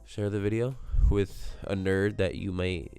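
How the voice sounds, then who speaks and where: Guy with a stuffed-up nose rambling about games, kinda low, smooth voice, faint music behind. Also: level tone, a little slow, warm tone. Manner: rambling, self-mocking, jokey asides. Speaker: gaming video host. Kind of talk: casual solo speculation to camera. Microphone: desk microphone.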